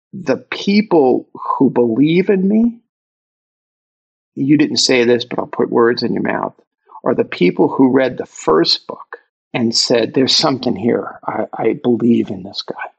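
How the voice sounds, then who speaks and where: A man from Brooklyn with a Brooklyn accent, talking candidly and reflectively as a guest author in an interview, pausing for emphasis. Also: mid-range voice, lively intonation, creaky, husky, dull audio. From Brooklyn, New York.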